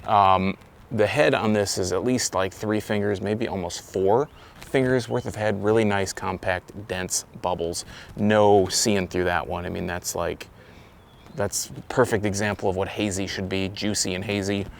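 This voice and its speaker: A man's voice: on the low side, steady tone, measured pace, creaky. A man casually reviewing a beer outdoors, rambling and correcting himself as he talks. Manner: rambling, self-correcting, loose. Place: outdoors, windy. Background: wind noise.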